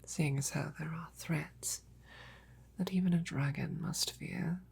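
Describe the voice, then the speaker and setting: A woman playing a devoted, tenderly teasing royal knight in an intimate roleplay audio, with breathy, often whispered, courtly speech. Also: low-pitched, fairly even intonation, a little mellow, exaggerated voice. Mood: earnest, devoted. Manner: slow, tender, courtly phrasing.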